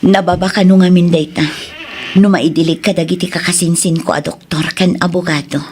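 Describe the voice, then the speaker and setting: A woman acting a remorseful, tender young woman in an Ilocano romance drama, speaking with emotional, halting pleading and an Ilocano accent over music. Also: on the low side, steady tone, a little mellow, a little hiss or hum, lightly acted. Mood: sorrowful recounting. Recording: music bed under the voice.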